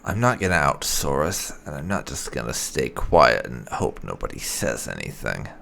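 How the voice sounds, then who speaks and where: A man's voice: very deep voice, sing-song, moderate pace, creaky, bright, forceful tone. A man giving roleplay commentary while playing a video game. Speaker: gamer playing and voicing his character.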